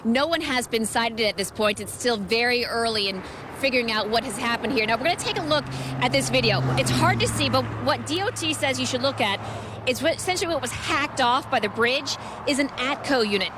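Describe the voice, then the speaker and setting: A woman's voice: a little high, lively intonation, brisk, noisy background. A woman with an American accent giving a live television news report in a reporter's structured delivery.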